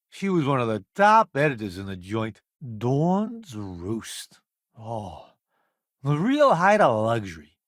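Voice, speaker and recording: A man's voice: medium pitch, no echo, studio quality. An actor playing a jaded, showy middle-aged food critic turned hired space crewman in a video game, with wry, flippant, slangy delivery. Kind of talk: video game companion dialogue.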